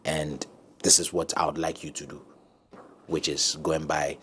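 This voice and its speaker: A man talking as a guest brand consultant on a panel talk show, pausing with many ums, then growing emphatic. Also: extremely low pitch, slight background noise. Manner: hesitant, many ums, grows animated and emphatic.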